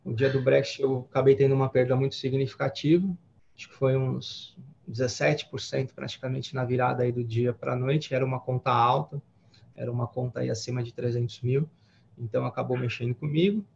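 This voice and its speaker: Man, 40s, gravelly voice, easygoing rambling storyteller, dull video call sound. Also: mid-range voice, a little fast, a little hiss or hum. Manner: relaxed, rambling, many fillers and restarts. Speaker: guest trader. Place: home office with window. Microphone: headset on a video call.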